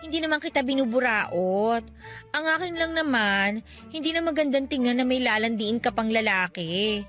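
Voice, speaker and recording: A woman's voice: on the high side, lively intonation, moderate pace, a bit bright. An actress playing a persistent, playful young mother in a radio drama, nagging and coquettish, with music underneath. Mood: jealous, hurt. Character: persistent, teasing young mother in love with best friend.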